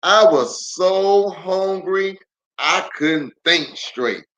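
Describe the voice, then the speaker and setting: A man's voice: high voice, lively intonation, very slow, full character voice, rough recording. A man voicing a hungry, boastful, somewhat bad male wolf in a children's storybook. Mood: embarrassed. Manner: loud, boastful, demanding.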